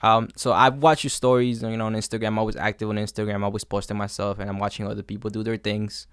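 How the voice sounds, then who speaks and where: A man's voice: lowish voice, flat delivery, fast, clean tone, no echo, clean studio sound. A man conducting a casual interview, asking rambling, informal questions. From has lived in a New York suburb.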